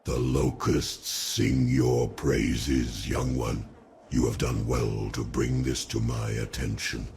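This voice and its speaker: A man voicing a giant undead spider lord in a fantasy game cutscene, gloating and crooning grandly in a creaking croak. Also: flat delivery, darkish tone, a little hiss or hum, exaggerated voice. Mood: proud. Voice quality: deep gravelly creaking croak. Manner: slow, crooning, speaks grandly of himself.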